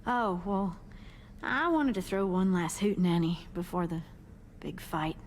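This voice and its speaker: A woman's voice: fairly low pitch, lively intonation, a little slow, a little hiss or hum. A woman playing a kindly, upbeat, slightly awkward teenage girl in a casual, friendly way for a cinematic video game. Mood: wry, casual.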